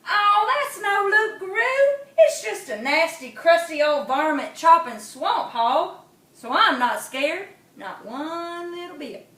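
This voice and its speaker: A woman voicing a small boy possum who brags he is not scared, drawing out his words slowly, in an echoey room. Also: extremely high pitch, expressive, fairly bright tone, pitched above the performer's own voice, full character voice. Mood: boastful. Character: small timid but boastful boy possum. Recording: echoey room, mic at a distance.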